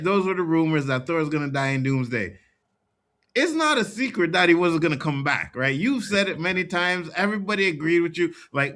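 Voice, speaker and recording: Bright-toned man in casual chat. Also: on the high side.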